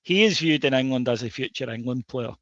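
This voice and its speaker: A man's voice: mid-range voice, fairly quick, a bit bright, no echo. A Scottish man co-hosting a football podcast, chatting casually with lots of fillers and restarts. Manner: hesitant, many fillers and restarts. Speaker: podcast co-host. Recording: dull dry lo-fi sound.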